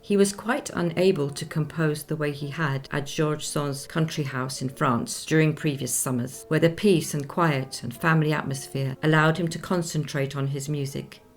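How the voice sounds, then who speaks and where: A composed lady of the 19th century in a radio play. Her voice is low with a touch of gravel, and she recounts the past formally and with discretion. Music plays behind her. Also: fairly even intonation. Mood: composed, sympathetic. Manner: measured, formal, genteel storytelling.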